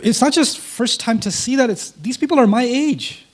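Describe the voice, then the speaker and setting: A man whose first language is Urdu, a guest in an interview, telling a personal story in an animated way and acting out quoted dialogue. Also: very high-pitched, normal speed.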